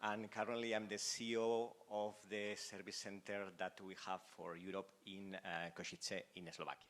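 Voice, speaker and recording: A middle-aged man with a Spanish accent giving a joking, halting conference talk as a company executive. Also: lowish voice, little pitch movement, fairly bright tone. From Spain. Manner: joking, with hesitant fillers. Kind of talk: conference presentation.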